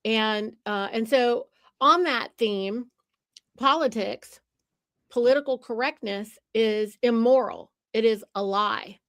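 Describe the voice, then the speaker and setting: A woman's voice: a little high, slow, no room sound, clean studio sound. A woman hosting a podcast conversation, talking in an emphatic, lecturing way. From United States. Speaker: podcast host.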